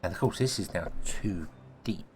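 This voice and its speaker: A man, casually thinking aloud as he plays a building game, his voice a little croaky from a cold. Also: low voice, lively intonation, normal speed, a little mellow, a little hiss or hum. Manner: thinking aloud, rambling, self-correcting. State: croaky, sneezy voice. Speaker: gaming video host. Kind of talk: casual let's-play commentary.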